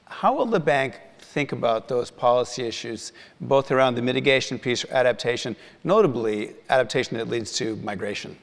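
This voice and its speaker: A man's voice: mid-range voice, animated, a little fast, hardly any pauses. A man moderating a conference session, courteous and organized, sometimes rephrasing himself mid-sentence. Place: large conference hall with audience. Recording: long reverb of a large hall.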